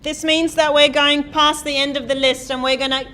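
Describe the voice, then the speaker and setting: A woman giving a live coding lecture, talking loudly and quizzing the class as she types. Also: sits high, flat delivery, moderate pace, slight background noise. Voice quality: loud voice. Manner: chatty, asks the class questions, thinks aloud while typing. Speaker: university programming lecturer. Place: lecture hall.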